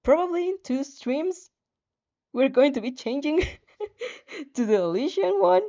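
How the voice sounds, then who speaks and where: Slow-paced, mellow female streamer. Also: high voice, expressive, no echo. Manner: giggly, rambling, self-interrupting. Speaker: streamer, fanfiction reader. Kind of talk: livestream reading aloud and casual chat. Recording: slightly muffled audio with music behind.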